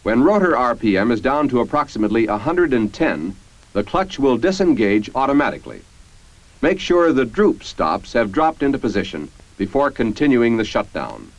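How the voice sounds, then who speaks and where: An American man reading scripted 1950s military training-film narration in a formal, measured, instructional manner. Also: mid-range voice, big pitch swings, no room sound. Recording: dull, band-limited 1950s film soundtrack.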